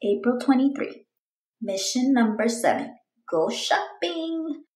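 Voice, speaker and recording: Eager storybook girl played by a woman, earnest, expressive, unhurried, lightly high-pitched. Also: reverberant, rough recording. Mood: excited. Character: eager, determined young girl writing her diary.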